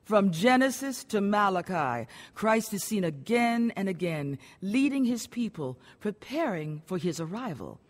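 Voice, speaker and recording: An authoritative woman who teaches the Bible with solemn devotion. Her medium-pitched voice is instructive, in radio drama style, and carries a long reverb. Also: big pitch swings, unhurried, few pauses.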